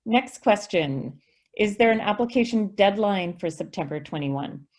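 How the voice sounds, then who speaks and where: A woman's voice: medium pitch, animated, a little slow, darkish tone. A woman, a school district representative answering questions on an online video call in a patient, explanatory way. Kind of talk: webinar Q&A, reading and answering questions.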